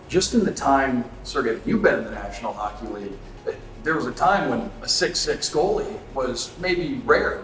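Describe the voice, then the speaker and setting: A man hosting a conversational sit-down sports interview, asking measured, wordy questions. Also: medium pitch, fairly bright tone, far from the mic. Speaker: interviewer, sports radio play-by-play host. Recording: echoey reverberant room, off mic, music.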